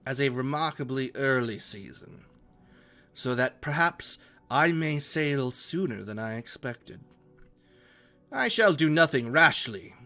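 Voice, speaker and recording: A man reading a classic novel aloud as an earnest, romantic gentleman explorer, in formal literary phrasing. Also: medium pitch. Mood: earnest, reflective. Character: earnest, romantic young gentleman explorer writing letters home.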